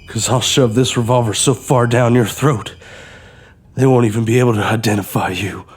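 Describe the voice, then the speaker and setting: A man's voice: mid-range voice, steady tone, normal speed, breathy edge, faint music behind, lightly acted. An actor playing a desperate, trapped robber and devoted father in a horror audio drama, speaking with raw emotion. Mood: frightened defiance.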